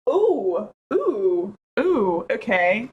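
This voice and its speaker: Lively, playful woman at a game table. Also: medium pitch, dull audio, slight background noise. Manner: playful, animated, quick to interject. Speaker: player in a tabletop role-playing game. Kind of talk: casual, jokey game-table chat.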